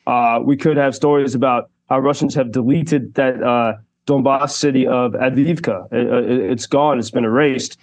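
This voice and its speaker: A man's voice: mid-range voice, fairly even intonation, moderate pace, darkish tone. Man reporting live by radio line. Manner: thoughtful, many fillers and restarts. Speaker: radio correspondent. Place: Kyiv.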